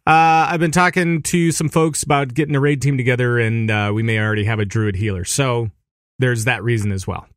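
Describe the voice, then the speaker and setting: A man's voice: mid-range voice, fairly even intonation, brisk, dry recording, studio quality. A man co-hosting a podcast, talking casually and rambling, with frequent restarts and easy laughter. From Minneapolis area, USA. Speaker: podcast co-host.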